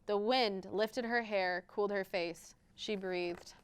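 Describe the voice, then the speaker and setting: Clear-voiced woman reading to children. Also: mid-range voice, takes it slowly. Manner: expressive, addresses children directly. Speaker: library storytime host and children's reader. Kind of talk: picture-book read-aloud with interactive rhymes for young children.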